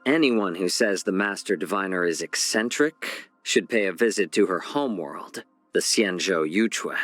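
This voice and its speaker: Game healer and tactician, male: lower register, composed, polite, slyly teasing, measured phrasing. Dry studio sound. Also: expressive, a bit bright, no room sound, faint music behind. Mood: reflective, measured. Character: calm, wry, courteous male healer and strategist who loves spicy food. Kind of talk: scripted video game character voice lines.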